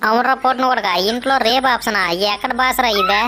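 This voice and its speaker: Woman as quarrelsome male cartoon monkey, know-it-all, brash, north coastal Andhra Telugu accent, highish, little pitch movement. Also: steady tone, fast talker, noisy background, lightly acted. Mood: scornful. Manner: insulting, brash. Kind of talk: comic cartoon dialogue.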